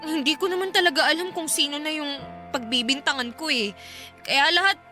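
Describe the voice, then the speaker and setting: A woman's voice: high-pitched, brisk, sharp tone, faint music behind. An actress playing an anxious young woman being stalked, in an emotional Filipino radio drama style. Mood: uneasy, apologetic.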